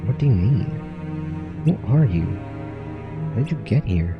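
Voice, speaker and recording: A man's voice: lowish voice, animated, takes it slowly, creaky, highs cut off, over music, voice pushed up, heavily acted. A man reading aloud game dialogue as a dreamy, restless boy who longs for the outside world. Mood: startled, questioning.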